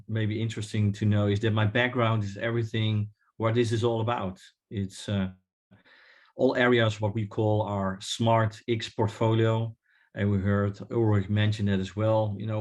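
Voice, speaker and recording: A middle-aged man with a Dutch accent and a somewhat low voice gives an online conference talk. He speaks earnestly, with many fillers and restarts, and sounds as if he is on a video call. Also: a little mellow. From Netherlands. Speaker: webinar speaker. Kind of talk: conference presentation.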